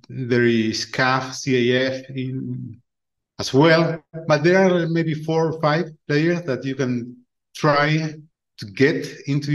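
Man explaining things at a steady pace. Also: medium pitch, muffled recording. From Argentina. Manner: measured, explanatory, with frequent restarts. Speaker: guest, venture capital fund partner. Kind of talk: conversational podcast interview.

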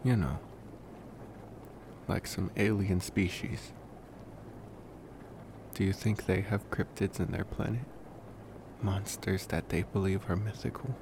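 Slow, gravelly young male vampire played by a man. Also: low voice. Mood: curious, musing. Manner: musing, rambling, with pauses and sighs. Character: young male college vampire, reflective, gentle, rambling. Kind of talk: ASMR roleplay, intimate monologue to the listener. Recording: noticeable steady background noise.

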